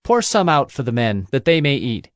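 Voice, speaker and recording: An actor playing a stern, commanding holy prophet in a dramatized audio Bible, speaking solemnly. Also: male voice, mid-range voice, big pitch swings, moderate pace, no echo, clean studio sound, close to an everyday voice. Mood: calm authority.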